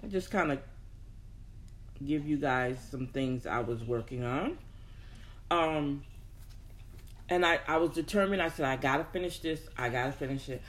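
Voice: medium pitch, moderate pace, pauses often, a bit bright. A host chatting informally to an audience in a motivational style, thinking aloud and repeating words for emphasis. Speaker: host of a personal development show. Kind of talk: casual motivational talk to an audience.

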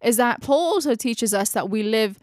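A woman's voice: fairly high pitch, animated, moderate pace, a little mellow, no room sound, studio quality. Woman leading a reflective bible study. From Philippines. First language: Tagalog. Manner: reflective, searching for words, laughs at herself. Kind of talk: closing a bible study discussion.

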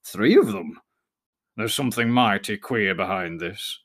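A man reading aloud, voicing a curious male fox who mutters slowly to himself. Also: lowish voice, animated, a bit bright, voice pushed down. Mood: puzzled. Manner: slow, wondering, muttered to himself. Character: sly curious male fox, musing in the woods.

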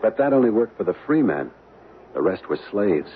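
An actor playing an easygoing, wry husband with a camera in a radio drama, casual and affectionate in manner. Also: male voice, lowish voice, sing-song, normal speed. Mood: relaxed, wry. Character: easygoing, wry American husband on vacation, keen photographer. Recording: tinny, noisy old radio recording.

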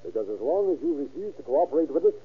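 An actor playing a hard-nosed, impatient sheriff questioning people in an old-time radio crime drama. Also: male voice, on the high side, very animated, fast, husky, exaggerated voice. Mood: stern. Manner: blunt, pressing, folksy. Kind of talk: 1930s-1940s radio acting. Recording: scratchy, tinny old radio recording.

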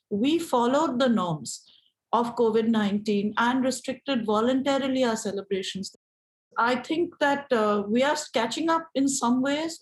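A woman with an Indian English accent and a fairly high voice. She talks thoughtfully, explaining her views as an activist in an interview. Her delivery is reflective and measured. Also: low-quality recording.

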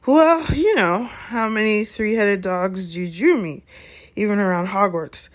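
Woman doing a big clumsy storybook guy, well-meaning, flustered, kinda loud and blurty. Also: mid-range voice, measured pace, close to an everyday voice. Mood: chatty.

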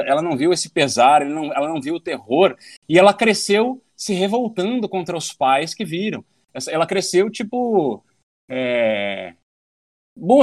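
A man's voice: high-pitched, no echo, studio quality. A man in his thirties, a podcast guest, talking at length in a rambling, philosophical way and swearing casually. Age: 30s.

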